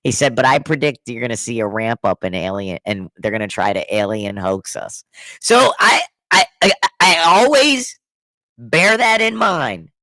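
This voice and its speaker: A man's voice: mid-range voice, very animated, moderate pace, bright, forceful tone, no echo. A middle-aged man with a scratchy throat from being sick, chatting loosely on a podcast, rambling and restarting his sentences. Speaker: podcast co-host. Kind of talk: casual chat.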